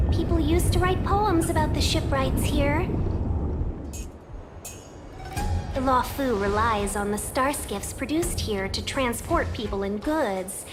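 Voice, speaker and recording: A woman voicing a genteel, playfully cautious young fox-woman merchant guide in a video game, speaking in a polished, teasing way. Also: high-pitched. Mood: wistful.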